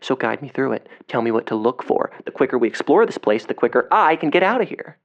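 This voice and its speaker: A man's voice: medium pitch, very animated, fast. Cocky teenage boy, muffled sound. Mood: coaxing, cocky. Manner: casual, slangy, bristles when challenged. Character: cocky, defensive seventeen-year-old male amateur explorer. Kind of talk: audio drama phone dialogue.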